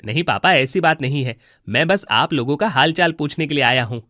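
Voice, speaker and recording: An actor playing a falsely dutiful, scheming adult son in a cartoon moral story, speaking in a placating way. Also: male voice, fairly high pitch, lively intonation, brisk, pushed and bright, no echo, clean studio sound.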